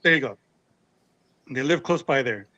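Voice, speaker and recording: A man's voice: medium pitch, expressive, moderate pace, bright, forceful tone, lo-fi sound, a little hiss or hum. A man hosting a casual podcast, chatting in an easygoing, slangy way. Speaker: podcast host.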